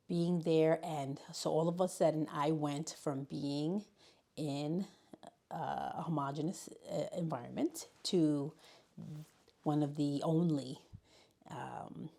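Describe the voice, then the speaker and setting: A woman from New York City, a high school learning specialist, reflecting on her path into teaching in an interview, with frequent restarts and fillers. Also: fairly even intonation, slow, gravelly, dark tone. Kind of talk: interview conversation.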